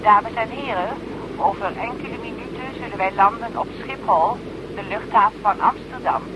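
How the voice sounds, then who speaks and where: An actress playing a polite stewardess giving a formal cabin announcement in a radio drama. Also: female voice, medium pitch, moderate pace, dull audio, close to an everyday voice. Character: polite airline stewardess making landing announcement. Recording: clear aircraft noise, old radio play.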